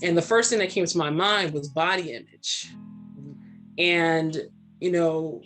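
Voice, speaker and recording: A woman's voice: lowish voice, a little slow, a bit bright, soft background music. A woman in her forties from Brooklyn and Trinidad, speaking candidly and conversationally about herself as a panel guest, often checking in with "right?". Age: 40s. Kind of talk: candid personal storytelling in panel discussion.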